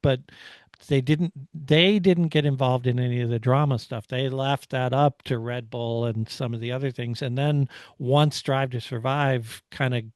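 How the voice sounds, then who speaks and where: A man co-hosting a motorsport discussion, talking casually and haltingly as he analyses. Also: mid-range voice, steady tone, darkish tone, dry recording. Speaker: motorsport podcast host.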